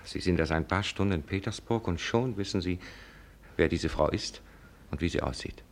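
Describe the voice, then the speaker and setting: An actor in a 1950s German radio play, playing a suspicious young secretary who speaks in a guarded, probing way. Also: male voice, low-pitched, moderate pace, a little hiss or hum.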